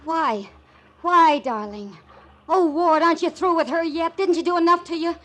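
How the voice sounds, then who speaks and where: A woman's voice: extremely high pitch, normal speed, fairly bright tone. An American actress playing a desperate, lovesick woman in a 1940s radio melodrama, pleading and repeating herself. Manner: pleading, breathless repetition.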